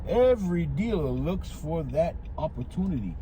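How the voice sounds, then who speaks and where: A man's voice: on the high side, very animated, normal speed. A man telling stories casually in a rambling, conversational way, repeating phrases for emphasis, recorded inside a car as he drives. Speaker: used car dealer and vlogger. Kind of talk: casual storytelling vlog while driving. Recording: loud car and road noise. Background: loud vehicle and road noise.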